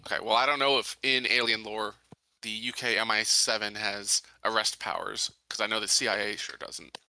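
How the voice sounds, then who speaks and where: A man's voice: lowish voice, fairly even intonation, normal speed, gravelly, bright, forceful tone, no echo, rough recording. A man playing a tabletop game, casually arguing his points. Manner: argumentative. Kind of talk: casual debate.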